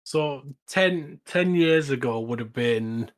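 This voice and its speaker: A man's voice: medium pitch, lively intonation, takes it slowly. A man hosting a podcast, chatting casually as he reviews films. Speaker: podcast host.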